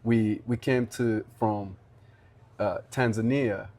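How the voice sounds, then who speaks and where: A young man from Rwanda hosting a podcast, talking in an earnest, halting way with many restarts. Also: fairly low pitch, steady tone, darkish tone. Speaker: podcast host. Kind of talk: casual interview chat.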